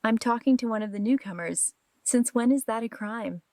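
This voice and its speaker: A woman's voice: on the high side, normal speed, darkish tone, no echo, close to an everyday voice. A woman playing a conflicted, defensive and vulnerable married mother in an audio drama.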